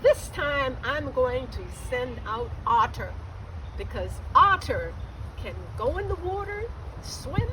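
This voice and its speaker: A woman voicing a kindly, hopeful male leader in a children's legend, speaking in a deliberate, encouraging way. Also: high voice. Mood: pondering. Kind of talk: children's storytelling. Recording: distant mic, noisy background.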